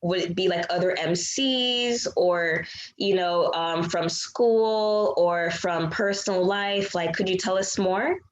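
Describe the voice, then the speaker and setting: Slow-paced woman interviewer in echoey room. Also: fairly low pitch. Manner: encouraging, affirming. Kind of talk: casual interview. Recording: echoey room, faint background noise.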